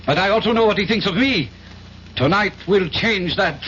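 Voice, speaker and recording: A man's voice: sits high, lively intonation, normal speed, a bit bright. An actor playing a bitter, threatening male enemy agent in a 1970s radio drama. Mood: menacing. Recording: muffled, slightly noisy old radio broadcast.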